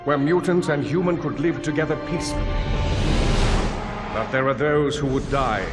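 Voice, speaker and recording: An actor playing a solemn, idealistic older mentor in a video game, narrating in a measured, formal, earnest way. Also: male voice, fairly high pitch, over loud music, close to an everyday voice. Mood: grave, earnest.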